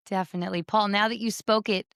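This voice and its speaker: A woman's voice: mid-range voice, steady tone, fast talker, vocal fry, fairly bright tone, clear, resonant tone, dry recording, studio quality. A woman in her thirties or forties with an American accent, hosting a conversational podcast. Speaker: podcast host.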